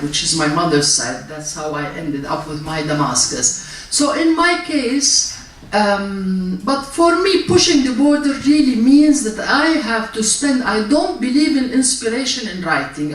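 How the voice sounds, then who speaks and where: An older woman from Palestine, speaking as a writer on a festival panel, chatty and self-mocking with halting restarts. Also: mid-range voice. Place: public library event hall. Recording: echoey hall, distant mic, faint noise.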